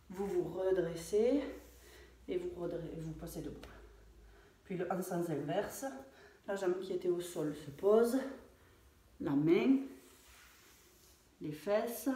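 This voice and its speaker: A French fitness coach talking through a home workout, with many hesitations and fillers. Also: female voice, mid-range voice, mellow. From France. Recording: echoey room, voice far from mic.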